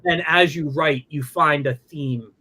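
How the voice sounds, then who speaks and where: A man hosting a casual interview over a livestream, friendly and halting, often repeating words as he starts sentences. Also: a little high, pushed and bright, slightly rough. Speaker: host. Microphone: remote livestream call.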